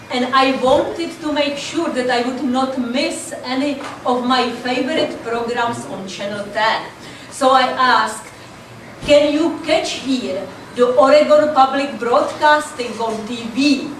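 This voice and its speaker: A woman's voice: high-pitched, takes it slowly, far from the mic, a little hiss or hum. An older woman from the Czech Republic with a Czech accent, telling a dry stand-up routine about language mix-ups. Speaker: stand-up comedian. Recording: echoey room, mic from afar.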